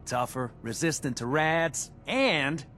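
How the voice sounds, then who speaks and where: A man's voice: on the high side, lively intonation, slow, sharp tone, heavily acted. An actor playing a keen, wry handyman with a folksy, enthusiastic manner in a video game. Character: keen, wry handyman tinkerer showing off salvage.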